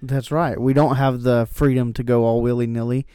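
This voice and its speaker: A man co-hosting a church podcast in casual chat, with a dry, teasing wit and quick jokey asides. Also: medium pitch, little pitch movement, mellow, clear, resonant tone, dry recording. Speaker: podcast co-host.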